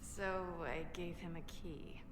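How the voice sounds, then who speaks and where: A woman's voice: on the low side, steady tone, takes it slowly. An actress playing a brash, blunt and defensive woman inventor in a video game. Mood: uneasy, explaining. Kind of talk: video game dialogue acting. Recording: echoey hall reverb, faint background noise.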